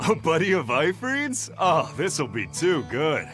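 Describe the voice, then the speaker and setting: An actor playing a swaggering, taunting rogue fighter in a video game, slangy and carefree. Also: male voice, on the high side, wildly swooping pitch, a little slow, over music. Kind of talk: English video game voice acting.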